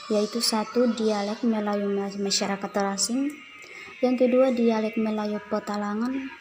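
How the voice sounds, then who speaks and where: Young woman reading, music playing behind. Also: a little high. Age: young adult. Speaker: student presenter. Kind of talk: reading a class presentation.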